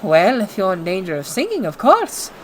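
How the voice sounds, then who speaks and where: A woman voicing a calm, sensible female ocean liner who talks things through. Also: medium pitch, normal speed, slight background noise, pitched below the performer's own voice, rough recording. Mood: reassuring.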